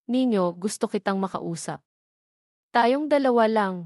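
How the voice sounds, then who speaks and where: A woman's voice: fairly high pitch, expressive, a little slow, darkish tone, no echo, studio quality. A woman voicing a stern, unyielding father in an audio drama, firm and serious in manner.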